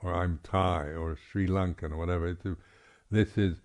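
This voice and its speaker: Low-voiced man giving a halting dharma talk. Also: steady tone, darkish tone. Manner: halting, reflective, with repeated throat clearing. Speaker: Buddhist monk teacher. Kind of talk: unscripted dharma talk at a meditation retreat.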